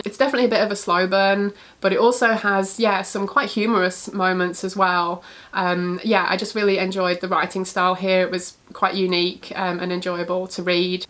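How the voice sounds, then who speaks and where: Stuffy, husky woman with a cold. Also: on the low side, fairly bright tone. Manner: chatty, frequent "yeah" fillers. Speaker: book reviewer. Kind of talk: casual book recommendations.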